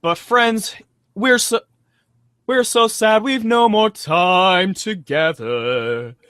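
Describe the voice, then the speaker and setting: A man hosting a read-aloud show, addressing listeners in a theatrical, mock-grand and deliberate way. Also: sits high, a bit bright, smooth, no room sound, studio quality.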